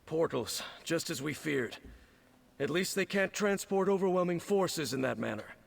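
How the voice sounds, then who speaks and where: An actor playing a grave, commanding military captain in a fantasy video game, with a gravelly voice. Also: male voice, on the high side, expressive, normal speed, heavily acted. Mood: grave, businesslike.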